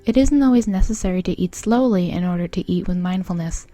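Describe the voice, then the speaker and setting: A woman speaking gently and in a measured way as a mindfulness guide and interviewer. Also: on the low side, soft background music. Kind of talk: guided mindful eating exercise, then soft interview.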